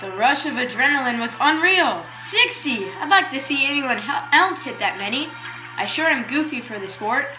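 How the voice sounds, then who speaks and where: A woman's voice: high-pitched, lively intonation, normal speed, sharp tone, roomy sound, faint music behind, low-quality recording. A woman playing a proud, cheerful male baseball star in an amateur 1920s radio play. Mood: proud, happy.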